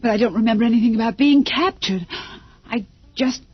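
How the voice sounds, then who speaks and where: A woman's voice: on the high side, fairly quick, breathy edge, dry recording. An actress playing a frightened, bewildered woman held captive in a radio drama, urgent and emotional. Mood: distressed. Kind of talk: old-time radio drama acting. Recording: muffled old radio drama recording.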